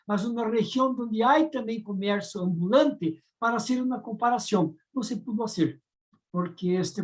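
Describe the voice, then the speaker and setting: Brazilian woman discussing in Spanish. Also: on the high side. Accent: Brazilian Portuguese. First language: Portuguese. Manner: thoughtful, searching for words with fillers and restarts. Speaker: round-table discussant. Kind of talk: academic panel commentary.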